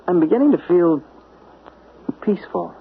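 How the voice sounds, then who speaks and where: A soft-spoken earnest agent guy, gravelly, lots of pitch movement, tinny old radio sound with a bit of hiss. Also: lively intonation, normal speed, exaggerated voice. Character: calm, newly peaceful male literary agent. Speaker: Dick Harrison, a literary agent. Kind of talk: 1970s radio drama acting.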